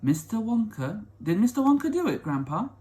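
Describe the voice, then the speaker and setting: A man's voice: sits high, very animated, moderate pace, mellow, voice pushed up. A man reading a children's story as an eager, curious little boy who questions and exclaims breathlessly. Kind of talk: children's storybook read-aloud.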